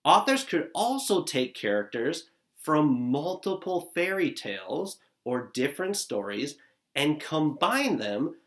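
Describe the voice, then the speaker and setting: A man in his thirties, a teacher recording an upbeat lesson for young children, talking straight to them from his living room. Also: mid-range voice. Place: living room at home, indoors. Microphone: camera at desk distance, seated facing it.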